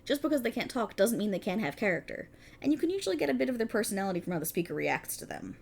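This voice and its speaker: A woman's voice: mid-range voice, sing-song, rapid-fire, vocal fry, a little mellow. A woman playing a prickly, exasperated police officer in a scripted ASMR roleplay, talking in a wry, bossy, explanatory way to an unheard partner. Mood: instructive. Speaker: voice actor in audio roleplay. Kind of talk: scripted ASMR roleplay, one-sided dialogue.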